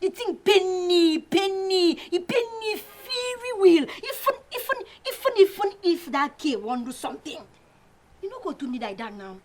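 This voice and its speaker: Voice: high voice, wildly swooping pitch, normal speed, heavily acted. An actor playing an aggrieved young hothead with a Nigerian accent, indignant and tripping over repeated words. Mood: aggrieved, vengeful. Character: aggrieved hot-headed young schemer, ranting about revenge. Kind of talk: acted Nigerian Pidgin comedy dialogue.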